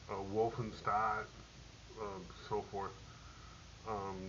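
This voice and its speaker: Halting, slow-talking low-voiced veteran man. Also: bright, forceful tone. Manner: halting, many ums and restarts. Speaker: military veteran storyteller. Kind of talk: rambling personal war story. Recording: dull lo-fi audio with faint hiss.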